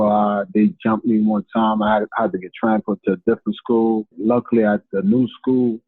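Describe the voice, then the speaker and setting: A man's voice: fairly low pitch, slightly rough, no room sound. A man from Honduras, earnestly answering interview questions and repeating words as he starts. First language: Spanish. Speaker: guest, hip-hop artist. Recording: tinny phone-like line, dry.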